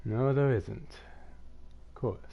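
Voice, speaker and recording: A man's voice: mid-range voice, slow, creaky. Lisping young-sounding man streaming a game. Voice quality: slight lisp. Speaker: gaming streamer. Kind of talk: casual live gameplay commentary, chatting with viewers. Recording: dull lo-fi mic, music behind.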